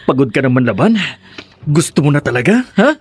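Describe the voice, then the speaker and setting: A man's voice: mid-range voice, sing-song, fairly quick, husky, no echo. A man playing a lecherous, obsessed villain in a Filipino radio drama, with a leering, taunting way of talking. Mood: taunting, menacing. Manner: leering, taunting, chuckling to himself. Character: lecherous, obsessed male villain, menacing and gloating.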